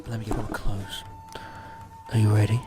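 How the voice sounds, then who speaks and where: A man's voice: on the low side, flat delivery, normal speed, warm tone, soft background music. A man casually bantering and reading fan fiction aloud in a mock-dramatic, teasing and sweary way.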